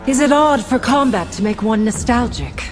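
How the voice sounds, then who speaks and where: An actress playing an earnest, dutiful princess in a fighting game, speaking in a formal, measured way. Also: female voice, a little high, lively intonation, soft background music, lightly acted. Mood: formal, reflective. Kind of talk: video game character dialogue.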